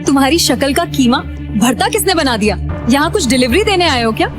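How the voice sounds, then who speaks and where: A woman's voice: high-pitched, animated, fairly quick, over music. An actress playing a haughty, scornful young woman in a Hindi audio drama.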